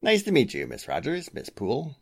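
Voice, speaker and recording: A husky male voice from an actor plays a protective lawyer in a forties radio drama. His speech is formal and guarded, with very wide swings in pitch. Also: wildly swooping pitch, fairly quick, exaggerated voice. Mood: guarded, courteous. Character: formal, protective, wary defense attorney. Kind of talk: 1940s radio drama acting.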